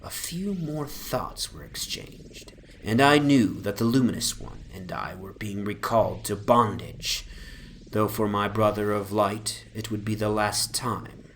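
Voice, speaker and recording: A man giving a solemn, deliberate dramatic narration of a literary horror story over an ambient soundscape. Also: lowish voice, a bit bright, slight background noise, poor audio.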